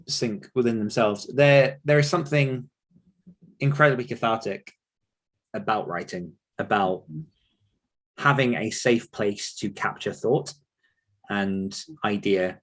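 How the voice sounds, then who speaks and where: Low voiced guy chatting earnestly in an interview, author coach type. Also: a bit bright. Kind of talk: earnest, conversational interview talk.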